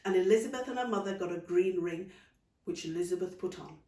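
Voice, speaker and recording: A woman author reading her own children's story in animated storybook narration. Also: fairly low pitch, fairly quick. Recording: roomy, distant microphone.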